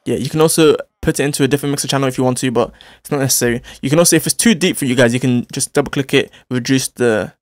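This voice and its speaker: A man's voice: mid-range voice, rapid-fire, hardly any pauses, no room sound, clean studio sound. A man hosting a music production tutorial, explaining steps informally and repeating phrases as he demonstrates. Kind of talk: casual software tutorial, step-by-step instruction.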